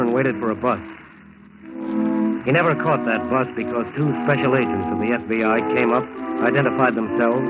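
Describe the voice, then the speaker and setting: Solemn 1940s radio narrator, a male actor, loud music. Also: medium pitch, close to an everyday voice, rough recording. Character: solemn, dramatic crime show narrator. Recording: old radio recording, loud music behind. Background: loud dramatic music.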